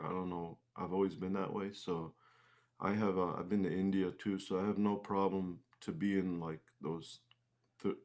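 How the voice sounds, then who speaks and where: A man with a low-pitched voice talks casually as a guest in an interview. He speaks in a halting way, often starting a thought and then rewording it as he goes. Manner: halting, rephrases mid-thought. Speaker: interview guest, singer-musician. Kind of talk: casual conversational interview answers.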